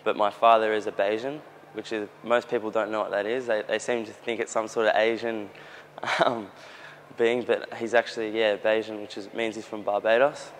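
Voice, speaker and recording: A man's voice: fairly low pitch, flat delivery, moderate pace, slight background noise. A young Australian man from Melbourne answers interview questions earnestly, often repeating words as he searches for phrasing. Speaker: young footballer. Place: outdoors near a gym with traffic.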